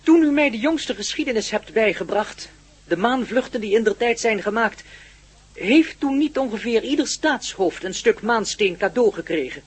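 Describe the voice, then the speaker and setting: A man's voice: sits high, expressive, husky. Een man die in een hoorspel een kalme, superintelligente buitenaardse assistent speelt, precies en zakelijk. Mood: calm, precise. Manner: precise, matter-of-fact, unhurried. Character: calm, superintelligent male alien posing as an assistant. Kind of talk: Dutch radio play acting.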